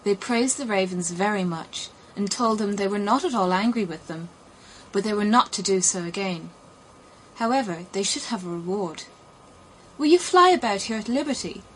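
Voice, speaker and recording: A woman reading a fairy tale as an audiobook narrator, in an expressive storytelling manner. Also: medium pitch, normal speed, rough recording. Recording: clear background noise.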